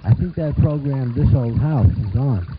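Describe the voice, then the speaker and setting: A man's voice: mid-range voice, animated, moderate pace, natural voice. Man doing a kindly old grandfather puppet, muffled. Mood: approving. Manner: reminiscing, gently approving. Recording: muffled, noisy amateur puppet show recording.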